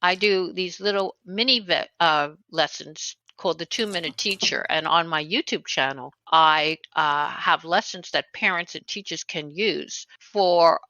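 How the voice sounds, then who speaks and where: A woman's voice: lowish voice, measured pace, hardly any pauses, bright, forceful tone, no room sound. An older woman from New City, a guest talking casually in an interview, with many fillers and restarts. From New York City. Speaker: guest, author and educator. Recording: dull lo-fi sound.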